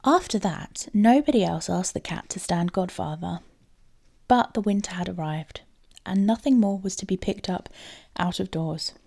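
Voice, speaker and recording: A woman storyteller reading a fairy tale aloud in an expressive style. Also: fairly low pitch, moderate pace, vocal fry.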